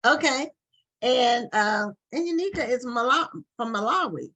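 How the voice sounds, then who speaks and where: A woman's voice: on the high side, lively intonation, measured pace, fairly bright tone. A woman hosting a live online book show, chatty and loose with restarts and fillers, talking over a video call. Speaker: talk show host.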